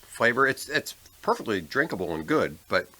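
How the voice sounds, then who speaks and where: This is an American man chatting informally as a podcast co-host. His voice is medium in pitch and bright, with plenty of rise and fall as he talks casually over a drink. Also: big pitch swings, moderate pace, slight background noise, rough recording. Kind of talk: casual chat over a beer.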